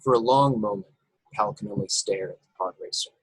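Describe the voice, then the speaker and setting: A man with a husky voice reading stage directions as narrator of a script table read over a video call. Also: on the low side, a little fast, no room sound, rough recording. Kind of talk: scripted narration with occasional stumbles.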